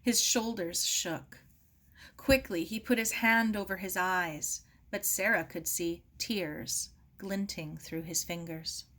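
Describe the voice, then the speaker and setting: A woman narrating a novel as an audiobook reader, expressive, deliberate and grave. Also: on the low side. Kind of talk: dramatic scripted narration of a novel.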